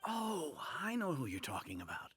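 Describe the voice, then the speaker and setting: A man playing an opinionated older village man in a video game, raspy, emphatic and plain-spoken. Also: fairly high pitch, huge pitch swings, moderate pace, a bit bright, a little hiss or hum. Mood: puzzled, recalling.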